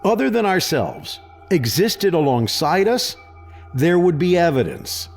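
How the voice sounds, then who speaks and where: A man's voice: sits high, big pitch swings, unhurried, gravelly, soft background music, heavily acted. A man playing a defensive, sarcastic presidential secretary in a sci-fi audio drama, arguing sceptically. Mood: skeptical. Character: combative, skeptical presidential secretary guarding his turf.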